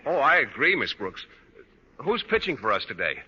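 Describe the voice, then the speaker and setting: A man's voice: medium pitch, huge pitch swings, moderate pace, pushed and bright, heavily acted. An actor playing a shy, naive, earnest male teacher in a 1940s radio sitcom, polite and hesitant. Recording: dull, lightly noisy 1940s radio recording.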